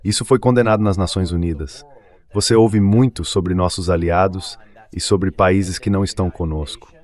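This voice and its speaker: Man, deep pitch, dubbed political interview, official's statements. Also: fairly even intonation, moderate pace, a little mellow.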